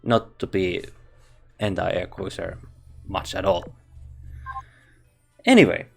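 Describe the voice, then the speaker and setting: Slow halting man with a Finnish accent. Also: deep voice, sing-song, vocal fry, faint music behind. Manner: halting, thinks aloud, frequent restarts. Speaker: game video reviewer. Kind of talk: casual game commentary.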